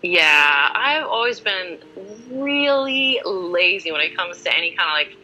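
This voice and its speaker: A woman's voice: on the low side, expressive, unhurried, pushed and bright, dull audio, slight background noise. A woman in her forties answering as an interview guest in a casual, chatty, informal and self-deprecating way. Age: 40s.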